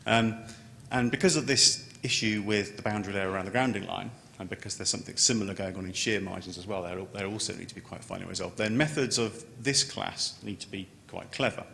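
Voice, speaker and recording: A man giving an unscripted technical conference presentation as a scientist, halting and often repeating words as he starts a phrase. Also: creaky, bright, forceful tone. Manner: halting, with stammered word repetitions. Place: large conference room. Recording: echoey hall, noticeable background noise, low rumble.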